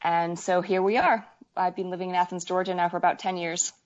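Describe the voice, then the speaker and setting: A woman's voice: lowish voice, fairly even intonation, a little fast, lo-fi sound. A woman in her forties from Wales and western Canada, chatting casually as an interview guest with hesitant, stop-start phrasing. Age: 40s. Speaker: needlework artist, interview guest. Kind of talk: casual chat.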